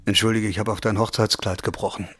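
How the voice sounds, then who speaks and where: An actor playing a gravely ill, shy man in an audio drama, speaking haltingly and tenderly to his beloved with a husky voice. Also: on the low side, level tone, a bit bright, a little hiss or hum, natural voice. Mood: pained, ashamed.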